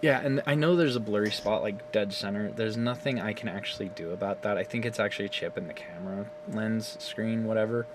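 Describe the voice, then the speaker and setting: Animated, swearing man recapping a game. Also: lowish voice, a little fast, few pauses, background noise. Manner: animated, profane, laughs often. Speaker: gaming video creator. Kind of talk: casual rambling recap of a game story. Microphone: phone camera.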